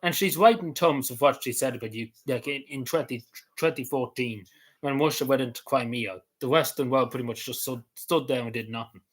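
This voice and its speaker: A man's voice: mid-range voice, fairly quick. Rambling man talking casually. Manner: rambling, hesitant, many fillers and restarts, chuckles often. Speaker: vlogger.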